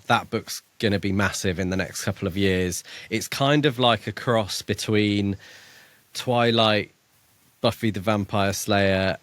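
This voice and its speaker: A man's voice: fairly low pitch, dry recording. A man co-hosting a book podcast, summarizing and chatting casually with stammering restarts. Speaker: podcast co-host.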